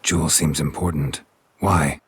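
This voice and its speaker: An actor in a video game playing a gruff, stoic monster hunter who mutters tersely in clipped, deadpan fragments. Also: male voice, extremely low pitch, measured pace, heavily acted. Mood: dry questioning. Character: gruff, laconic male monster hunter for hire, stoic and watchful. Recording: music behind.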